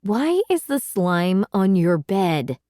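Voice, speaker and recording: Woman playing a stern mom with swooping, drawn-out speech. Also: medium pitch, big pitch swings, dark tone, no echo, studio quality. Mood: exasperated. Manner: drawn-out, reproachful, emphatic. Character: stern, skeptical mother scolding her young daughter. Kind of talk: children's audiobook read-aloud.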